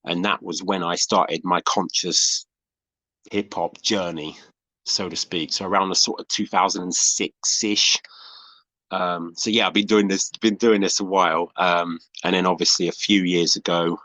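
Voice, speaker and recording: A man's voice: low voice, fairly even intonation, measured pace, sharp tone, dry recording, low-quality recording. A man who makes hip hop, answering interview questions on a video call in a thoughtful, hesitant way. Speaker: guest, hip hop artist.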